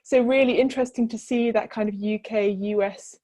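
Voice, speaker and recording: A woman's voice: a little high, steady tone, fairly quick, mellow. A woman from the UK speaking as a webinar panelist, hesitant and searching for her words.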